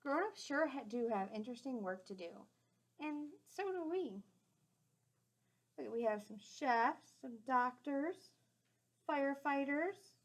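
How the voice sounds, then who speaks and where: A woman reading a picture book aloud to young children in an expressive, teacherly way, with simple explanatory asides. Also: sits high, a little slow, long pauses.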